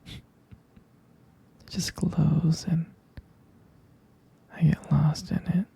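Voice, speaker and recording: Male actor for tender vampire lover, ASMR sleep-aid roleplay. Intimate whisper, heavy creak, flat soothing delivery, halting and doting. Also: fairly high pitch, heavily acted. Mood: dreamy, doting. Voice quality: breathy whisper with gravelly fry. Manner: slow, halting, softly repeating himself.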